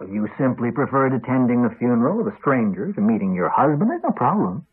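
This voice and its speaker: An actor playing a cruel, sardonic husband who needles his wife in measured tones, in the style of 1980s radio drama. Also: mid-range voice, big pitch swings, husky. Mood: mocking. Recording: narrow-band 1980s radio recording, phone-like.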